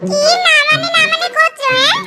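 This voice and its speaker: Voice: very high-pitched, fairly even intonation, fairly quick, heavy reverb, recorded from a distance. A performer voicing a bossy, nagging fox wife in a children's cartoon, with music behind. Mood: scolding. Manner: commanding, nagging.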